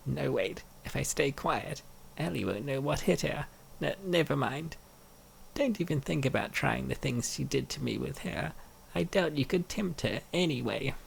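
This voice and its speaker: A man's voice: fairly high pitch, creaky, slight background noise, poor audio. A man performing an acted reading of comic dialogue, voicing the characters as a dub actor.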